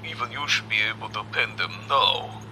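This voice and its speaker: An actor playing a stern, pompous commodore with a cutting, commanding manner in a science fiction audio drama. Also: male voice, fairly low pitch, moderate pace, background noise, close to an everyday voice. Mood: scornful. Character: stern, scornful male space military commodore.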